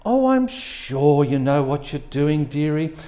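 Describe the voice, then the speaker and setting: A man's voice: on the high side, sing-song, a little slow, mellow. A man voicing a frail, tremulous old dowager, sweet and anxious, in a story reading. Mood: sweet, fretful.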